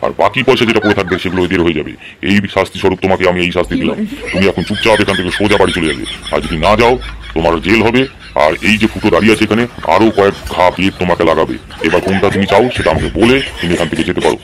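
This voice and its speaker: A man's voice: low-pitched, racing, background noise, lightly acted. A man voicing a stern, bossy middle-aged village headman in a comedy cartoon, questioning and laying down the law with authority. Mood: authoritative. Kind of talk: amateur comedy cartoon dub.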